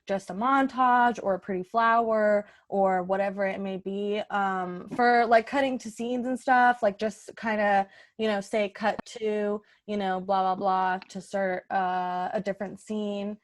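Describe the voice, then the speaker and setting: A woman chatting casually as a podcast organizer, thinking out loud in an informal, tentative way. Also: medium pitch, steady tone, measured pace, few pauses. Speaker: podcast host and improv organizer. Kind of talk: casual planning chat.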